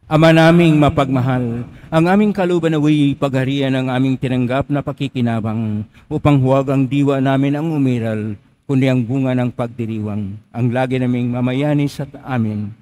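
Priest intoning Mass prayers. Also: male voice, medium pitch, moderate pace, a little mellow. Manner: solemn liturgical intoning. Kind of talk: leading Mass prayers and blessing.

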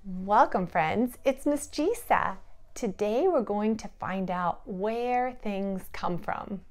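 Middle-aged female preschool teacher, unhurried delivery with big swings in pitch, plain phrases for little kids. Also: lively intonation. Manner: simple deliberate phrasing with questions to young children. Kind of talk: children's lesson and read-aloud.

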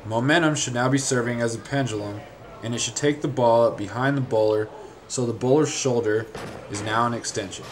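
A man reading a scripted instructional narration in a measured, formal, step-by-step way. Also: medium pitch.

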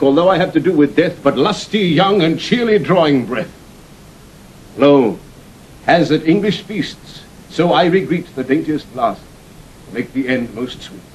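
Guy doing a bold young knight, shakespeare audio drama, highish voice, fervent and sure of himself. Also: a little hiss or hum, close to an everyday voice, poor audio. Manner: ringing, fervent declamation. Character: bold young noble challenger, lusty and confident.

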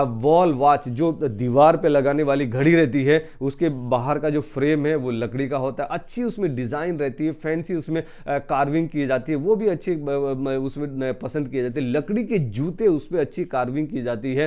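A man in his thirties from India giving an educational business talk to camera on a lapel mic, emphatic, swinging from soft to loud, with frequent hesitations. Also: medium pitch, moderate pace, few pauses. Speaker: business trainer. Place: indoor studio with green screen.

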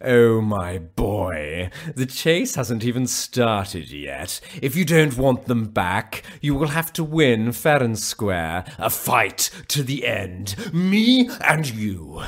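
A man playing a gloating rat king villain in a radio pantomime, with sly, theatrical taunts and drawn-out words. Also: mid-range voice, sing-song. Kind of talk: pantomime radio play acting.

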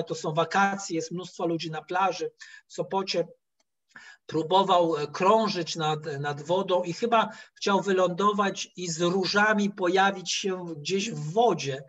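A middle-aged man giving a history lecture over a video call, telling the story haltingly with digressions and repeated words. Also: a little high. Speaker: history professor, guest lecturer. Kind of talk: spoken history lecture with slides. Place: indoor room, video call. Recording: dull, lo-fi online call audio.

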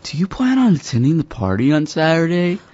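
Animated man reading a lesson dialogue. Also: a little high, fairly quick, muffled recording. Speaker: dialogue reader. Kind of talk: scripted learner dialogue.

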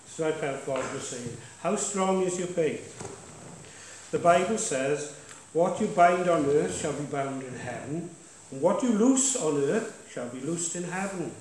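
Man pastor, slightly raised pitch, firm exhortation to a congregation, heavy church echo. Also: expressive, unhurried, a little mellow, a little hiss or hum. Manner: emphatic, declaring, asks the congregation questions. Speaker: pastor leading worship. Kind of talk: sermon exhortation. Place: church sanctuary. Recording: echoey church hall.

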